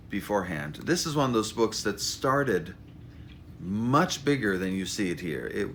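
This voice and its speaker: Male author, informal monologue. Very expressive pitch, wry and self-deprecating, some background noise. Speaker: author introducing his memoir. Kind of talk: informal spoken monologue, storytelling.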